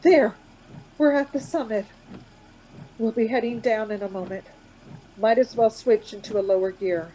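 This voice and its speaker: A woman's voice: moderate pace, long pauses, mellow, lightly acted, poor audio. An actress playing an anxious, coaxing woman traveller in a radio play, urgent and reassuring by turns. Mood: tense, encouraging. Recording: background noise with vehicle rumble.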